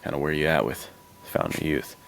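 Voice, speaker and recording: A man's voice: very deep voice, animated, fairly quick, vocal fry. A man hosting a podcast, chatting casually about films in informal, slangy language. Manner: informal slang, dude-heavy. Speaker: podcast host.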